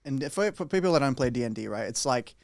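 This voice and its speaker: A man's voice: mid-range voice, lively intonation, quick pace, vocal fry, darkish tone, no echo, clean studio sound. A young man from Romania hosting a podcast, chatting casually, joking and swearing a lot. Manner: profane, joking, rambling. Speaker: podcast host. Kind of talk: casual chat.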